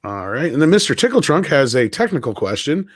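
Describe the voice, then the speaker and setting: A man's voice: mid-range voice, very animated, brisk, no echo. A man hosting a casual live stream chat, thanking viewers and passing questions to his guests. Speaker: host.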